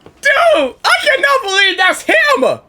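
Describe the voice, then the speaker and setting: Woman game streamer, bright and forceful, very animated, excitable, rambling casual reactions. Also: very high voice, slow. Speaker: gaming reaction video host.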